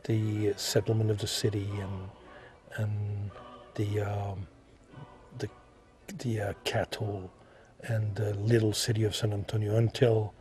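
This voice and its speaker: A man from France with a French accent, answering interview questions as an artist, halting and repeating himself as he searches for words. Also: fairly low pitch, little pitch movement, music in the background. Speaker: visual artist being interviewed.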